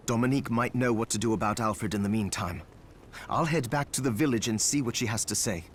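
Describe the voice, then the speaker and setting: A man acting a calm, serious alchemist who advises in a measured, considerate way in a video game cutscene. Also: on the low side, a little hiss or hum, close to an everyday voice. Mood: resolute. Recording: loud music under the voice.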